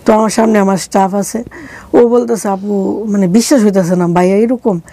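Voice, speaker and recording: A woman's voice: medium pitch, fairly even intonation, normal speed, warm tone, no room sound. A middle-aged woman with a Bangladeshi accent telling a personal story conversationally as an interview guest, speaking colloquially and acting out quoted dialogue.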